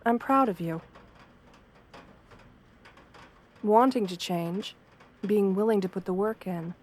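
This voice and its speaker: Soft-spoken, gentle wolf-girl therapist played by a woman. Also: mid-range voice, natural voice. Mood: caring, encouraging. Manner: soft-spoken, soothing, measured, reassuring. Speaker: therapist character speaking to a patient. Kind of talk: ASMR roleplay, guided affirmations.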